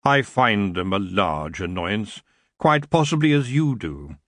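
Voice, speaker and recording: Brief: deep, slow, silky old elf king with antlers, lordly and lazy, voiced by a male narrator, dry close sound. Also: very animated, fairly bright tone, no echo. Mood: languid. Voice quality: smooth, unhurried. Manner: lazy, drawn-out, coolly polite. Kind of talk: audiobook character voice.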